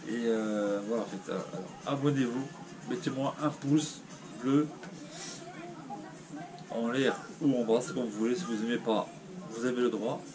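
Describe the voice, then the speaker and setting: A man speaks to his viewers with the stuffy, blocked sound of a cold. He rambles and hesitates, restarting his sentences with a downcast air. His voice sits at an ordinary pitch, over a clear layer of background noise. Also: moderate pace, long pauses. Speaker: YouTuber. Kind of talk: casual vlog talking to viewers.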